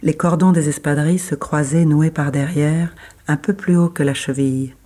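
A man reading literary prose as a scripted narration, with measured, deliberate phrasing and pauses between phrases. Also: fairly high pitch, fairly even intonation, dark tone.

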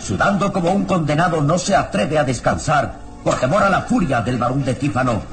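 Male radio drama narrator, slightly high voice, sweeping intonation, ominous, florid, scripted, music behind. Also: animated, fairly quick. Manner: theatrical, ominous, florid delivery.

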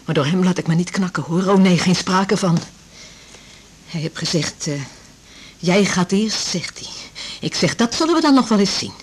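Breathy, chatty fifty-something woman patient. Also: lowish voice, lively intonation, moderate pace. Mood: anxious, rambling. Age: 50s. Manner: rambling, confiding, hesitant. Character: chatty, anxious fifty-year-old divorced female patient. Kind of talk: naturalistic radio drama dialogue.

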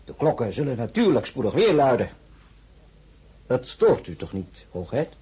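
Casting: shrewd excellency for radio play, formal, probing, dark mellow tone, very husky. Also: male voice, medium pitch, sing-song, normal speed. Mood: probing, sly. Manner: formal, pointed. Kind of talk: period radio drama acting.

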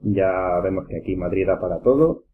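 Man, Castilian Spanish, low pitch, casual rambling host, dull sound, faint background noise. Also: normal speed. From Spain. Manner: informal, rambling. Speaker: podcast host. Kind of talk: casual round-table chat.

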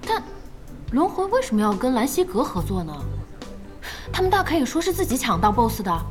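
A bubbly young woman boss in a radio drama. Her high voice swoops with curiosity and playful teasing, with faint music underneath. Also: brisk. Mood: thoughtful. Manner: expressive, inquisitive, playful. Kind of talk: Chinese radio drama acting. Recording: studio voice over faint music.

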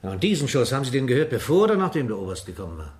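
An actor playing a brisk, insistent police inspector in a radio drama, questioning in a businesslike way. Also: male voice, mid-range voice, big pitch swings, darkish tone, natural voice. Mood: brisk, probing. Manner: interrogating, businesslike.

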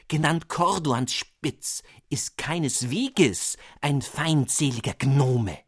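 A man voicing an elated, solemn old father of noble rank, who declaims slowly and rapturously. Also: medium pitch, no echo, studio quality.

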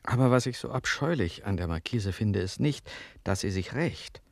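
Dry, creaky indignant male listener. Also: on the low side, expressive, normal speed, no echo. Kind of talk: German radio play acting.

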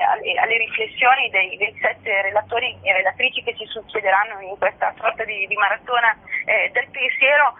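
A woman's voice: a little high, husky. A woman interviewed as a festival director, speaking earnestly in lofty, learned phrases, searching for her words as she goes. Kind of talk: radio phone interview, reflective explanation. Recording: phone-line radio interview sound.

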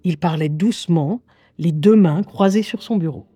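A woman's voice: fairly low pitch, normal speed, mellow, breathy edge, no room sound. An actress playing a wry young woman who hears voices, reading her diary in a radio drama with ironic, confiding asides. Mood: wry reminiscing. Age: young adult. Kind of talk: radio drama first-person monologue.

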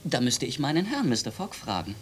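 An actor playing a scrupulous, hesitant gentleman's servant who speaks formally and deliberately in a radio play. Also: medium pitch, sing-song, faint music behind, natural voice. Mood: formal hesitation.

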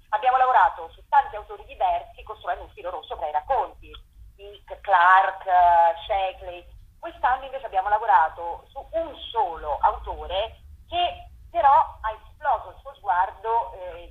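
A woman festival curator, a guest on a radio interview, explaining her work at length in a thoughtful way over a phone line. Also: mid-range voice. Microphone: telephone.

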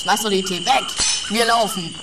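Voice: mid-range voice, fairly even intonation, moderate pace, lightly acted. An actor playing a curt, exasperated companion on the run in a comedy radio play, speaking in a dry, terse way. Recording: clear outdoor night noise, insects and owls.

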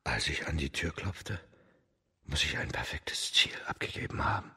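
A very deep, sharp-edged half-whisper from a brooding male warrior in a radio play. The voice is husky and gravelly, hushed as if thinking aloud. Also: animated, moderate pace, exaggerated voice. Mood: brooding, tense. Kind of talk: radio play inner monologue.

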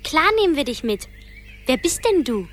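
A woman's voice: high voice, normal speed. A woman acting a blunt, feisty little girl, the younger sister in a radio play. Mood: eager. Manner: blunt, lively. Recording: music behind the voice.

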